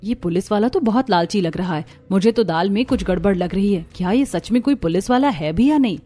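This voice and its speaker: A woman's voice: medium pitch, expressive, fairly quick, dark tone, natural voice. Casting: female performer as a determined young village wife in a cartoon, earnest, respectful, poor yet proud, medium register. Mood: suspicious.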